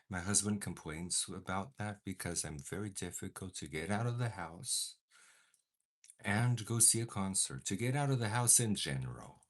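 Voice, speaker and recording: A young man whose first language is Portuguese talks slowly and casually as he does his makeup. His voice sits fairly low, and he slips into playful, theatrical outrage. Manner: chatty and dramatic, mock outrage. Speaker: beauty vlogger. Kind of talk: casual chat while doing makeup.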